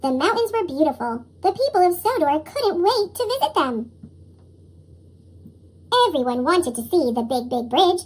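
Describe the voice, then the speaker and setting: A woman's voice: sing-song, normal speed, darkish tone. A woman reading a children's picture book expressively, her voice sped up with a chipmunk effect. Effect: sped-up chipmunk pitch shift. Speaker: storybook reader. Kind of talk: expressive children's read-aloud narration.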